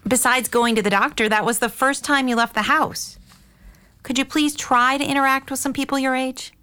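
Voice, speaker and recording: A woman's voice: high-pitched, steady tone, normal speed, pushed and bright, smooth. A woman playing a caring but exasperated middle-aged mother and aunt in an audio drama, earnest and firm. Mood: concerned.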